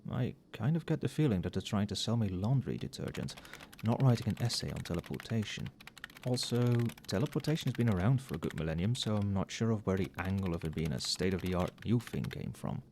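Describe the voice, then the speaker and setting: Soft-spoken, low-voiced tipsy professor played by a man. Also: lively intonation, a little fast, vocal fry, slight background noise. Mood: dry, wry. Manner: muttering, musing, dry wry asides. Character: tipsy, overworked male magic professor grading late at night. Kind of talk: soft-spoken casual ASMR roleplay.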